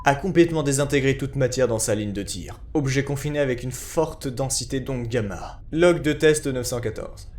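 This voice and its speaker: Casting: male narrator, average pitch, formal scripted report reading. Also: normal speed, a little mellow.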